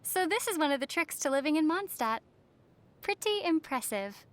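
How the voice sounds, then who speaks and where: A woman voicing a young female traveler in a video game, calm and playfully teasing, with wry delivery. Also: high-pitched, moderate pace. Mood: wry, amused.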